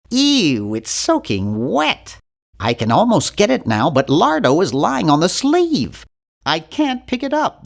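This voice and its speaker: A man's voice: sits high, vocal fry, heavily acted. A man voicing an earnest, timid young male nerd in an adventure video game, with a precise, fussy delivery. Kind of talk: animated adventure game voice acting.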